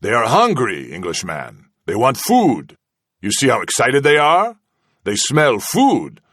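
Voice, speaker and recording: A cruel commander taunts his captive in a mocking, singsong menace, his voice lifted high above the narrator's own. A man puts him on in a dry, close reading with no room sound. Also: huge pitch swings, unhurried, fairly bright tone, no echo, exaggerated voice. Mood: menacing mockery. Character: cruel sneering Spanish commander, menacing, toying.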